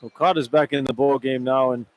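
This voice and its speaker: A man's voice: mid-range voice, fairly even intonation, brisk. An American man giving informal, conversational basketball analysis as a radio color commentator, sometimes repeating himself. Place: broadcast position inside a large indoor arena. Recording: live arena broadcast with background noise. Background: arena ambience and noise.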